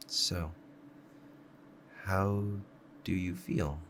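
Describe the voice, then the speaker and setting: A man playing a doting, obsessive kitsune husband in an intimate ASMR roleplay, speaking softly and clingily to the listener. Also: low-pitched, steady tone, very slow, slight background noise, heavily acted. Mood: tender, coaxing. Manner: soft, clingy, adoring, hesitant.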